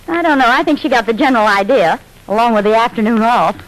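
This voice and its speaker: On a slightly noisy old radio recording, an actress plays a 1940s sitcom wife. She is sensible and dryly teasing, with a very lively, swinging melody to her lines. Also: a little high, fast talker, close to an everyday voice. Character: sensible, wry wife and lady of the house.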